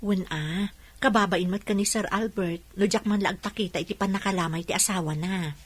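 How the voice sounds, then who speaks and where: A woman's voice: medium pitch, steady tone, moderate pace, husky, no echo, natural voice. A woman acting a polite female employee in a Filipino radio drama, with a Filipino accent. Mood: matter-of-fact. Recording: music under the voice.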